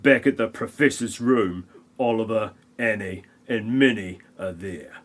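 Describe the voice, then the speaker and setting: A man's voice: fairly low pitch, unhurried, a bit bright. A man narrating a comic parody audio play in a wry, mocking manner.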